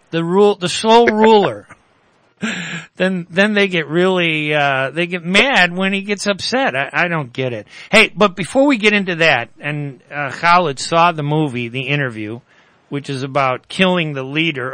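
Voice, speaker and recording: An older man hosting a radio talk show, opinionated and quick to jump in with jokes. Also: fairly high pitch, bright, forceful tone, no room sound. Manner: opinionated, joking, quick to interject. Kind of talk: casual radio chat.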